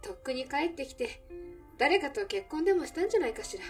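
A woman's voice: high-pitched, sing-song, quick pace, recorded from a distance, faint music behind. A woman playing a wistful, reflective cafe owner remembering a lost love in a Japanese audio drama. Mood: wistful, nostalgic. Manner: reflective, feminine, emotive storytelling.

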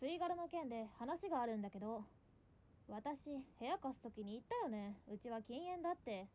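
A woman's voice: fairly high pitch, animated, moderate pace, very noisy, natural voice. A woman playing a strict, feisty eighteen-year-old landlady in a Japanese radio drama, with a scolding, cheeky and bossy manner. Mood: stern.

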